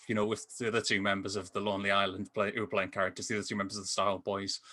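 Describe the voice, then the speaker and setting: A man's voice: fairly low pitch, sharp tone. A man co-hosting a film podcast over a video call, rambling enthusiastically with a stop-start delivery in casual discussion. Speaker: podcast co-host and film reviewer. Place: indoor room with posters.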